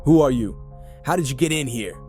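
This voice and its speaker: A man's voice: mid-range voice, expressive, brisk, darkish tone, soft background music, pitched above the performer's own voice, lightly acted. A man voicing a tough, impatient male cop who barks and growls sarcastically. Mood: gruff. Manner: barking, sarcastic.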